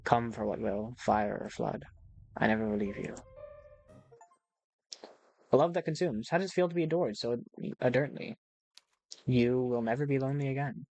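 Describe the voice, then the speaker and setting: A man's voice: mid-range voice, moderate pace, creaky, pauses often, a little mellow, faint music behind, close to an everyday voice. A man reading as a courtly, possessive narrator. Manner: ornate and courtly, speaking straight to the player. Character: formal, devoted, possessive visual novel narrator. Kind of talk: reading game text aloud.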